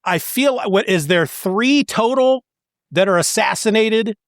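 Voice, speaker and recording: A man's voice: sits high, animated, measured pace, no room sound, clean studio sound. A man hosting a podcast, talking about a comic book story in a casual, conversational way. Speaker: podcast host.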